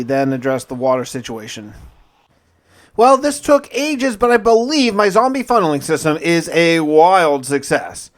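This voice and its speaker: A man narrating his own survival game playthrough in a conversational, journal-like storytelling way, with light roleplay. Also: a little high. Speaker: gaming video creator narrating a survival challenge.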